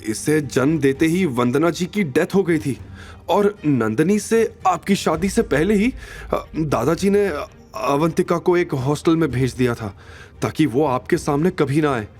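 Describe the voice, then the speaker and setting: A man's voice: a little high, lively intonation, a little slow, darkish tone, slightly rough, soft background music, pitched above the performer's own voice. A man playing an anxious, deferential assistant in an audio drama, with a hesitant, stammering manner. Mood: grave, hesitant. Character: anxious, deferential male assistant delivering bad news. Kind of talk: Hindi audio drama acting.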